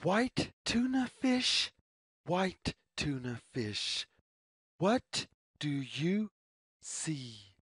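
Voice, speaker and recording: A woman reading a children's picture book aloud in a sing-song, deliberate, word-by-word way. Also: low voice, sing-song, slightly rough, dry recording, studio quality. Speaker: story reader.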